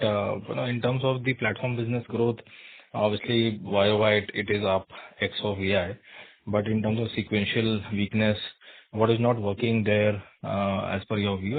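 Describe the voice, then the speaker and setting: A man's voice: lowish voice, breathy edge. A man with an Indian accent, an analyst politely and hesitantly asking questions on an earnings call. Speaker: analyst caller. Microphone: phone call. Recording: muffled narrow phone line.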